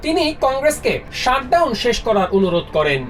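A woman's voice: fairly high pitch, sing-song, brisk, far from the mic, soft background music. A woman reporter delivering scripted news narration.

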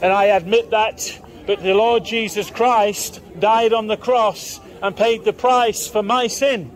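A man's voice: high voice, steady tone, slow pace. A man preaching in the open air on a busy town market street, firing repeated questions at passers-by. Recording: outdoor street with faint crowd.

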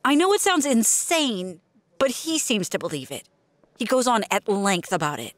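Woman acting as earnest investigator, fairly high voice, probing, concerned, audio drama. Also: very animated, moderate pace, dry recording. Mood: urgent concern.

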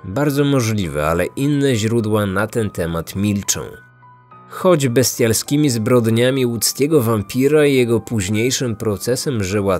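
A man's voice: medium pitch, darkish tone. A man reading a scripted, dramatic true-crime narration as a podcast narrator. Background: music.

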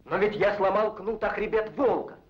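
A man's voice: high voice, moderate pace, husky. An actor playing a proud, honest young herdsman in an old cartoon, with earnest, declaimed delivery. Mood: firm, protesting. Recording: dull old film soundtrack with music.

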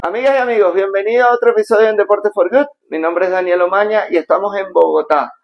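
A man's voice: high voice, steady tone, fast, dull audio, faint music behind. A man hosting a casual interview in a friend's house, prompting his guest with short, friendly interjections. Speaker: podcast host.

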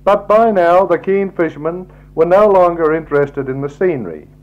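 A man with an Australian accent gives a measured, formal scripted travelogue narration in a 1960s style. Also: on the high side, animated. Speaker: slideshow narrator. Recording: dull, lo-fi 1960s tape recording.

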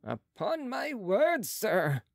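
A man voicing a cautious, courteous chief surgeon with a formal, deliberate manner in an audiobook. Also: high voice, wildly swooping pitch, vocal fry, no room sound, studio quality, pitched above the performer's own voice, exaggerated voice. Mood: hesitant, courteous. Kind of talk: audiobook character voice.

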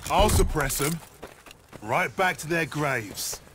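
An actor playing a cocky, scrappy British wartime soldier barking brash combat lines in a video game. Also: male voice, fairly high pitch, expressive, slow. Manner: brash, shouting battle barks. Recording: loud gunfire and noise behind.

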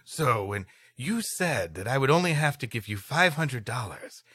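Man, podcast co-host, expressive story reading, playful asides, lively intonation, dry sound. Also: mid-range voice, normal speed, slightly rough, no echo. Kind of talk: reading an old adventure tale aloud with joking banter.

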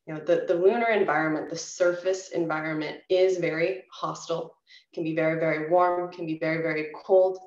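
A woman in her thirties answering questions over a video call from a home office, measured and explanatory with frequent pauses. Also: low-pitched. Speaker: guest speaker answering questions. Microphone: webcam at desk on video call. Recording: roomy, dull video-call audio.

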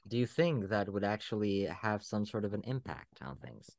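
A man's voice: fairly low pitch, very animated, normal speed, vocal fry, a little mellow, no echo, studio quality. A man hosting a podcast, chatting casually and enthusiastically with a guest. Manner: enthusiastic, appreciative. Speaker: podcast host. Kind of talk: casual interview chat.

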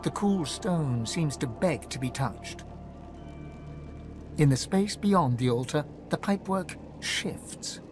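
Mysterious fantasy game narrator, music behind. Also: male voice, fairly high pitch, lively intonation. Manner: measured, evocative storytelling.

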